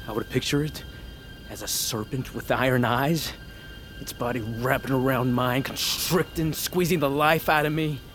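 Casting: man to play a bitter, wounded young lord in an audio fantasy, brooding and sincere, mid-pitched, over prominent music. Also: a bit bright, natural voice. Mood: anguished. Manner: earnest, brooding, halting delivery. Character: wounded, bitter young noble son of a cruel ruler. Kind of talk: cinematic fantasy audio drama acting. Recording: music under the voice.

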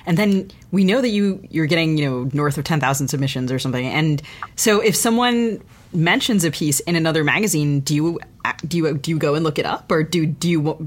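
A woman's voice: deep voice, brisk. A woman co-hosting a literary podcast, asking questions and sharing stories in an effusive, conversational way. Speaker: podcast co-host. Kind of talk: interview conversation.

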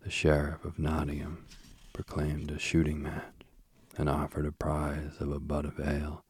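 A man hosting a sleep podcast and reading a bedtime story softly, slowly and soothingly, while he is losing his voice. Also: very deep voice, completely flat delivery, husky, dry recording, clean studio sound. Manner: slow, gentle and soothing. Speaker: sleep podcast host and reader. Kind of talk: soft bedtime story narration. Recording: faint music behind.

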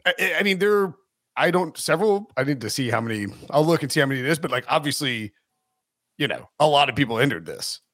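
A man's voice: medium pitch, animated, quick pace, a bit bright, no room sound, studio quality. A man hosting a sports podcast in casual chat, rambling and interrupting himself, laughing often. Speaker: podcast host.

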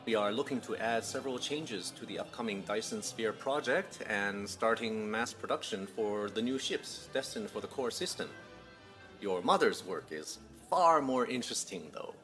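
Genial dad in his 50s, wry, swingy pitch, music behind, audio drama. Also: animated, moderate pace, fairly bright tone. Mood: interested. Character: genial, proud father in his fifties, scientist. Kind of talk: naturalistic scripted audio drama.

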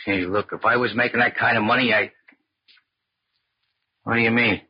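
An actor playing an easygoing out-of-work trumpet player and crook's pal, with American slang, in 1940s radio drama style. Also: male voice, mid-range voice, fairly even intonation, normal speed, slightly rough, lightly acted. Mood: puzzled. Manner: slangy sidekick talk. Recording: muffled vintage radio recording.

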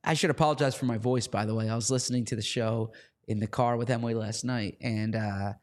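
A man with a slightly hoarse voice talks through football picks in a loose, chatty way. He stumbles over his openings, repeating a word or two before he gets going. His pitch sits in the middle range. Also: steady tone, fast talker. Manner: chatty, repeats words when starting sentences. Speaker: podcast co-host. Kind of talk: casual sports chat.

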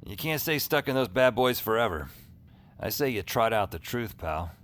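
An actor playing a wry, world-weary hotline worker, delivering slangy deadpan lines and narration in an audio drama. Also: male voice, lowish voice, normal speed. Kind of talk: audio drama dialogue and first-person narration. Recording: noisy ambience behind the voice.

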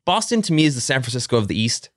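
A man's voice: mid-range voice, big pitch swings, no echo, studio quality. A young man co-hosting a casual sports chat, ranting about rival teams. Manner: quick, ranting, laughs along. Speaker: podcast co-host.

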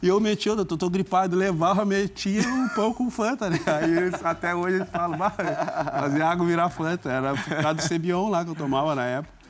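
A man telling a lively, slangy anecdote as a guest on a talk show. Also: high voice, brisk, slight background noise. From Southern Brazil. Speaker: guest, former footballer. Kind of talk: casual storytelling.

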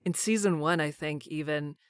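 A woman's voice: deep voice, normal speed, no room sound, clean studio sound. A woman voice actor being interviewed, chatting casually and peppering her talk with "like". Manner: frequent filler "like". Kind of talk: casual chat.

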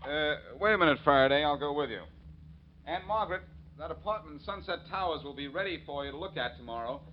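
An actor playing a wisecracking amateur detective in 1940s radio style, with a breezy, flippant manner. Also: male voice, a little high, quick pace, natural voice. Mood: brisk. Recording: muffled, noisy old radio recording.

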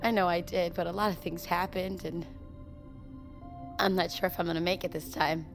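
A woman's voice: on the low side, steady tone, normal speed, soft background music, close to an everyday voice. Woman acting a weary, guilt-ridden explorer. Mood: tearful, apologetic. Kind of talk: naturalistic audio drama acting.

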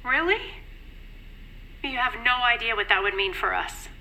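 A woman's voice: on the high side, animated, pushed and bright, a little hiss or hum, exaggerated voice, rough recording. A woman playing an anxious, grieving middle-aged mother in an audio drama, polite and hesitant. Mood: tentative. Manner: hesitant, careful, halting. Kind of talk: naturalistic audio drama acting.

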